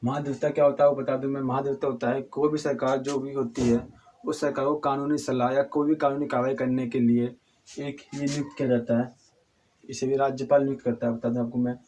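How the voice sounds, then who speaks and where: A hoarse man with a cold teaching a civics lesson, halting and restarting often, asking questions and answering them himself. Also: medium pitch, level tone, darkish tone, a little hiss or hum. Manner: halting, with frequent restarts and throat clearing; addresses viewers as friends, asks and answers own questions.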